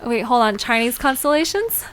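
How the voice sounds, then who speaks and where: A woman playing a curious, outspoken young woman in an audio drama, informal and questioning, sometimes halting mid-sentence. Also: a little high, big pitch swings, a little hiss or hum. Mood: curious, amused. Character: Alina, curious, outspoken young woman learning about mythical creatures.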